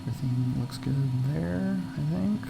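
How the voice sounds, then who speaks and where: A man chatting casually on a flight sim livestream, speaking haltingly and trailing off between remarks and radio calls. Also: fairly high pitch. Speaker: flight sim streamer and pilot. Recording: clear cockpit noise behind voice.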